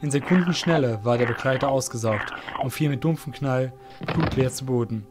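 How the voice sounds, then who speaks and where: A man's voice: mid-range voice, fairly quick, over loud music. A man reading scripted narration for an audio drama as the narrator.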